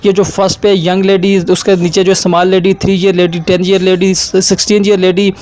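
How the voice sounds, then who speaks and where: A man's voice: sits high, flat delivery, normal speed, a little mellow. A man narrating a step-by-step app tutorial as its host.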